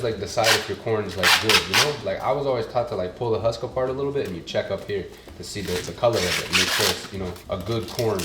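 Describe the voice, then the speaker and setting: Young male cooking vlogger, congested, lowish voice, loose profane chatter, sizzling pan behind. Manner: casual, profane, chatty with Spanish food words. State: stuffed-up nose. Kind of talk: casual cooking vlog. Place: home kitchen. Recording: clear kitchen noise behind. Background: sizzling pan and cooking noises.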